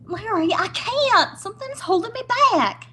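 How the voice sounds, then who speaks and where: High-voiced, jittery young wife. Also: female voice, animated, normal speed, fairly bright tone, a little hiss or hum. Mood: frightened. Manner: anxious, pleading, wry. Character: nervous, easily spooked young wife. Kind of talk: old-time radio comedy acting.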